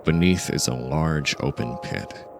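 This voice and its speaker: Very deep, gravelly male narrator. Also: measured pace, over music. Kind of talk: scripted narration of dark fiction.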